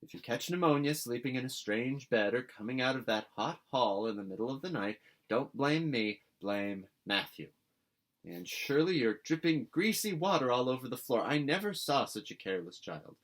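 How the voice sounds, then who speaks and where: A man voicing a stern older woman guardian in a storybook, brisk, tart and scolding. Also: mid-range voice, animated, lightly acted. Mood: tart, resigned.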